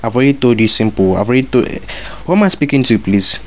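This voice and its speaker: A man's voice: mid-range voice, expressive, fast talker. A man making a prank phone call as a fake school inspector, bossy and repeating his orders over and over. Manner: bossy, repetitive, demanding orders.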